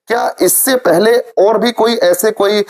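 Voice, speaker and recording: A man's voice: high voice, unhurried. Man giving informal news commentary. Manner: repeats phrases for emphasis. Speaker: commentator presenting a community news video. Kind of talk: informal spoken news commentary addressed to viewers.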